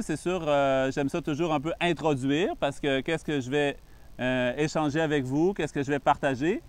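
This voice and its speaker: A Canadian man with a Québécois French accent, a Buddhist monk giving a calm, patient introductory talk on meditation with frequent pauses. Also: a little high.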